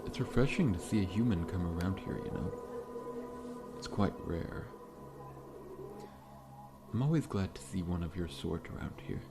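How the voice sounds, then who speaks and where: A man playing a massive, friendly but faintly menacing scorpion-man dentist in an ASMR roleplay, speaking in a gentle, reassuring, politely formal way. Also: deep voice, animated, moderate pace, long pauses. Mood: attentive, amused. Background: background music.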